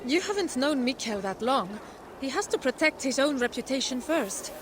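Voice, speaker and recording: An actress playing a shrewd, ambitious and devoted Viking wife in a video game cutscene. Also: female voice, high-pitched, sing-song, normal speed, background noise. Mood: stern. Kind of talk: dramatic game cutscene acting. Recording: music behind the voice.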